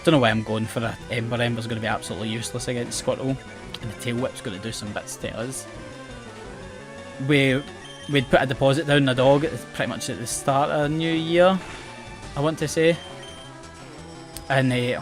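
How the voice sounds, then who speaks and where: Young man, west coast Scottish accent, rambling, self-mocking game commentary, mid-pitched voice, faint game music under it. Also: a little fast, long pauses. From Scotland. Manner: chatty, rambling, self-mocking, often restarts words and laughs. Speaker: gaming channel host.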